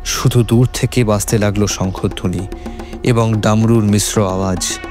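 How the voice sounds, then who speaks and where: A man's voice: lowish voice, fairly even intonation, unhurried, a little mellow. A man reading a scripted horror story as a dramatic narrator. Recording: music under the voice. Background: background music.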